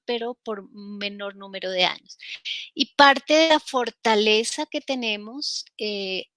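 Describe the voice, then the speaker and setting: A woman professor giving a formal talk on a symposium panel, slow and measured, with many fillers and restarts. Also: fairly high pitch, sharp tone, dry recording.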